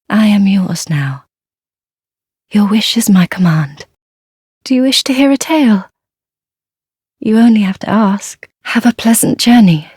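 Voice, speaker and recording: A timid blind young nun from a dark fantasy game, super breathy and whispery, slow humble delivery. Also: female voice, mid-range voice, dry recording, studio quality. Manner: halting, humble, formal, reverent.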